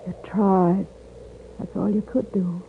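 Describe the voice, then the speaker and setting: A woman's voice: mid-range voice, level tone, takes it slowly, gravelly. Plain rustic western woman, wounded but stoic, old radio drama, narrow hissy sound. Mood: numb, resigned. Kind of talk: 1950s radio western acting. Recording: thin, noisy old radio broadcast.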